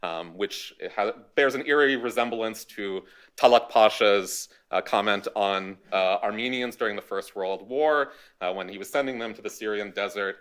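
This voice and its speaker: A man's voice: on the low side, normal speed, fairly bright tone, smooth. A man speaking as a panelist in a large auditorium, giving an academic talk from a podium microphone with many fillers and restarts.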